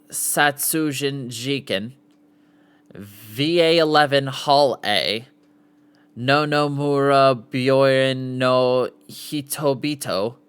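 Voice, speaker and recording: A man's voice: medium pitch, a bit bright, clear, resonant tone. A man hosting a casual live podcast, reading aloud and sometimes stumbling over the words. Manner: stumbles over foreign names, sounding them out. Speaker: podcast host.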